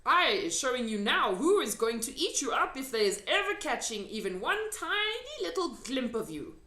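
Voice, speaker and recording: Lady doing a big friendly storybook giant, high swoopy voice, earnest n excitable, muddles his grammar, echoey room. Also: heavily acted. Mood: stern warning. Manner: muddled grammar, emphatic drawn-out delivery. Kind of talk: children's storybook character reading.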